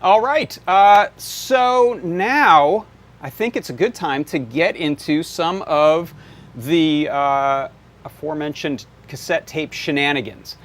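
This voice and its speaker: A man with a slightly high voice talks through a live technical demo. He explains each step casually and conversationally, as the host of a workshop show. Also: takes it slowly. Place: indoor workshop.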